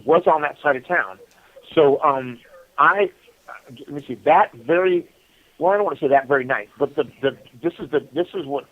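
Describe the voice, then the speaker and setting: A middle-aged American man speaks over a narrow, muffled phone line. His pitch is average, and he tells his story in a casual, halting way, padded with conversational asides. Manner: halting, conversational, repeats "you know". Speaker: prisoner interview guest calling from prison. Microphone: telephone. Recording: muffled phone call from prison.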